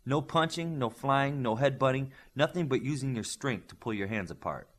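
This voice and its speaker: Male narrator as young superheroine, confident, cool, daring, matter-of-fact audiobook dialogue, mid pitch. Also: expressive, moderate pace, fairly bright tone. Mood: cool challenge.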